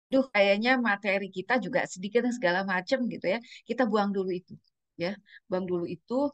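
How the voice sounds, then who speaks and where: A woman's voice: mid-range voice, a bit bright, no echo. A woman giving an informal training presentation as a communication trainer, instructive and conversational, often adding tag questions and mixing in English terms.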